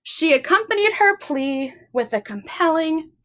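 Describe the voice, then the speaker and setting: A woman's voice: high voice, animated, measured pace, a bit bright. A woman hosting a children's storytime, reading aloud expressively and speaking simply to young listeners. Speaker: library storytime host. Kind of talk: children's picture-book read-aloud.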